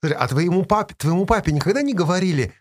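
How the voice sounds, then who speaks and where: A man's voice: sits high, expressive, fast talker, no room sound, clean studio sound. A man hosting a radio podcast, asking questions in a playful way. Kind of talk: interview questions.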